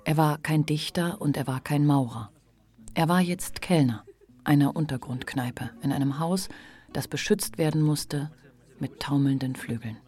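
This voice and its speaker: An actor playing a male literary narrator in a radio drama, reading scripted prose in a measured, contemplative way. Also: fairly high pitch, a little mellow, breathy edge. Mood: reflective. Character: reflective literary narrator of a novel. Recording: music bed behind narration.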